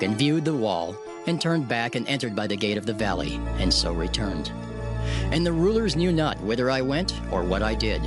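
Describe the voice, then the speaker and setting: Man, dramatized bible narration, earnest prayerful reading, middle pitch, music bed underneath. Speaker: narrator voicing Nehemiah.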